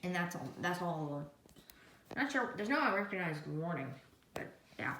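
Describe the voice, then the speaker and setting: Echoey room, heavy background noise, woman, casual product reviewer, rambling hands-on demo, somewhat low pitch. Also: big pitch swings, normal speed. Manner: rambling, reading menu items aloud one by one. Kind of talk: casual hands-on gadget demonstration.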